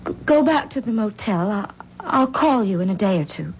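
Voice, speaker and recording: An actress playing a hesitant young wife who stammers in a 1970s radio drama. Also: female voice, mid-range voice, lively intonation, normal speed, close to an everyday voice. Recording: dull 1970s radio recording with faint noise.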